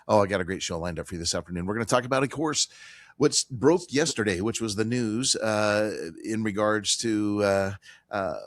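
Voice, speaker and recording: A man hosting a financial talk radio show, hoarse from a cold, talking emphatically with fillers and repeated phrases. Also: lowish voice, no room sound. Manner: emphatic, repetitive, conversational with fillers.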